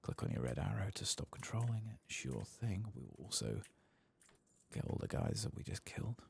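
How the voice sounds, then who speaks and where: Male ASMR gaming host: extremely low, crackling vocal fry, hushed delivery, faint background noise. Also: wildly swooping pitch, normal speed. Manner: hushed, soft-spoken, at times whispering. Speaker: host of a gameplay channel. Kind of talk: soft-spoken ASMR video game commentary.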